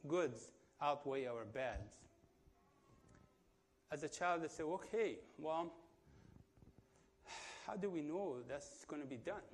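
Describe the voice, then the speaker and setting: A man in his fifties from Iran, with an Iranian accent, gives his testimony in a church, pausing often with fillers and restarts. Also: on the high side. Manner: halting, full of fillers and restarts. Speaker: guest speaker giving testimony.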